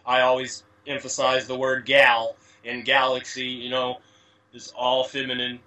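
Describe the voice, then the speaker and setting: Bright-voiced man, distant from the mic. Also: mid-range voice, completely flat delivery, a little slow. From USA. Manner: rambling, many "you know" fillers, wordplay explanations. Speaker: guest. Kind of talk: casual conversational interview.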